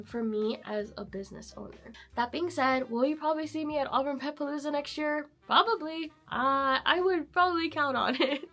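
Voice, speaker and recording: Upbeat woman vlogger with a high, hoarse sick voice, chatting casually to camera. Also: a bit bright. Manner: chatty, upbeat, frequent fillers. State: hoarse, sick and losing her voice. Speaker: vlogger and artist vendor. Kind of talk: casual vlog chat to camera.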